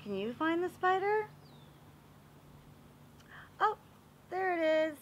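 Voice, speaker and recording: A woman reading a children's picture book aloud in an expressive, animated way, as if showing it to young listeners. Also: extremely high pitch, takes it slowly, muffled recording, slight background noise. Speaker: read-aloud reader for children.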